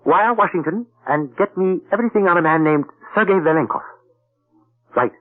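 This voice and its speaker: A courteous, formal secret agent fond of proverbs, his fairly high voice rising and falling in lively swings. The sound is thin and tinny, like an old radio broadcast. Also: moderate pace. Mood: brisk. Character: suave, polite male intelligence agent. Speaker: narrator and lead character. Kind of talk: 1940s radio acting.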